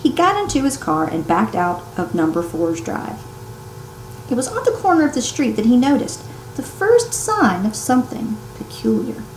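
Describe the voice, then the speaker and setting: A woman reads a children's story aloud expressively, like a teacher, with dramatic pauses, strong emphasis and hushed turns for suspense. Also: a little high. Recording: roomy echo, some background noise.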